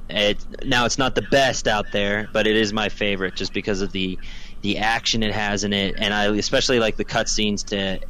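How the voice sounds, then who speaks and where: Low-voiced man chatting over noise. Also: pushed and bright, rough recording. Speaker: podcast guest. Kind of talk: casual chat giving opinions on films. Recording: clear background noise.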